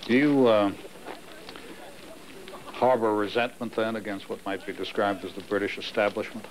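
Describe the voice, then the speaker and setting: Low-voiced male interviewer, probing. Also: fairly even intonation, moderate pace, a little hiss or hum, poor audio. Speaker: documentary interviewer and narrator. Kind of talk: interview questions and narration.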